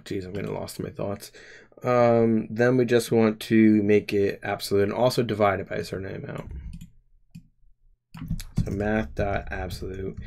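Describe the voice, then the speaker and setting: A man in his twenties talks through his code on a live stream, working out the logic aloud. His voice sits a little low. He pauses and restarts often as he thinks. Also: vocal fry, a little mellow. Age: 20s. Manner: hesitant, many pauses and restarts. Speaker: game developer streamer.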